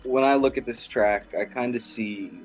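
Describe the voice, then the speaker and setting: A man working as an interviewer, asking long, earnest questions in a measured conversational way. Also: medium pitch, fairly even intonation, faint music behind, rough recording.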